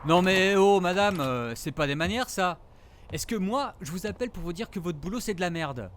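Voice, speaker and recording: Man acting a casual, higher-voiced forest ranger. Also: expressive, moderate pace, a bit bright. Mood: teasing. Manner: casual, teasing, slangy. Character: male forest ranger, teasing, affectionate expectant father. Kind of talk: naturalistic audio drama dialogue.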